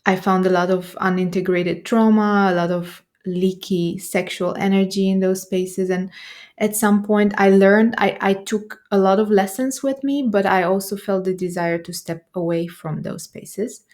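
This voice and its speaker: A woman's voice: medium pitch, fairly even intonation, normal speed, darkish tone. A woman from Romania with a Romanian accent, a nervous system coach speaking as a podcast guest in a reflective, hesitant way.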